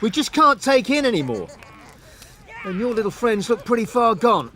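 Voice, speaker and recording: Gruff, weary camp guard. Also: male voice, extremely high pitch, sing-song, normal speed, pushed and bright, slight background noise, exaggerated voice. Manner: blunt, tired, grudging. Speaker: game character.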